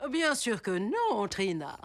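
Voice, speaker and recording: A woman's voice: medium pitch, huge pitch swings, slow, heavily acted. A woman voicing a proud female superhero school principal in a French cartoon dub, speaking formally and ceremoniously. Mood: solemn proud. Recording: studio dub over loud kids' music.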